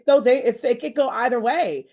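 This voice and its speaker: A woman podcast host with a fairly high voice. She speaks in an encouraging, emphatic manner, circling back on phrases as she shares faith-based motivation in a conversational style. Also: moderate pace, a little mellow.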